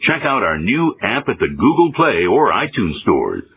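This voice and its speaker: A male announcer reading a scripted radio promo in a smooth, upbeat promotional delivery. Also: on the low side, big pitch swings, moderate pace. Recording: hissy, phone-like radio promo.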